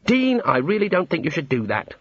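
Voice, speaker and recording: A man voicing a raised-pitch, exasperated young wizard. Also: huge pitch swings, fairly quick, no room sound, dull audio. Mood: gloomy, exasperated. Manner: hesitant, gloomy, long-suffering. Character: young wizard scholar, earnest, exasperated, awkwardly polite.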